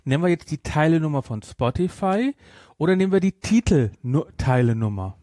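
A man's voice: on the high side, expressive, brisk, warm tone, dry recording. Digressive man chatting, dry close sound. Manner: digressive, self-correcting, breaking off sentences. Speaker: podcast co-host. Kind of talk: casual chat about audio dramas.

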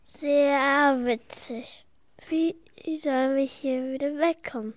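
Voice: high-pitched, steady tone, slow, no echo. A child playing a young detective in an amateur radio play, reading the lines in an eager, worried way. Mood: earnest, puzzled.

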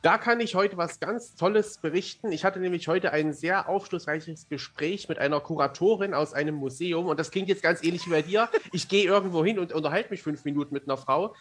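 Chatty man with a higher-pitched voice. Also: fairly quick, few pauses, slightly rough. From Germany. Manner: chatty, self-deprecating. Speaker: interview guest, fantasy author and amateur filmmaker. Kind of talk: casual podcast conversation.

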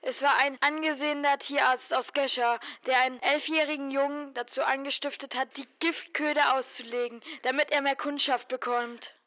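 A woman's voice: high voice, fairly even intonation, normal speed, hardly any pauses, sharp tone, dull audio. A young female actor playing an eager radio intern reading scripted news in an amateur radio play. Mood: upbeat, newsy.

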